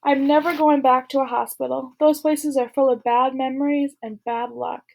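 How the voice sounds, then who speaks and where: A woman's voice: sits high, flat delivery, moderate pace, vocal fry, a little mellow, low-quality recording. A woman voicing a guilt-ridden, upset schoolboy, reading his gloomy, bitter thoughts aloud. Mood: scared.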